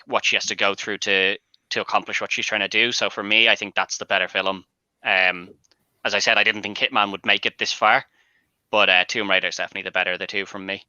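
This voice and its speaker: A man's voice: fairly low pitch, bright, forceful tone, no echo, muffled recording. A man speaking as a panel guest, casually arguing his view on films. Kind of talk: casual film debate.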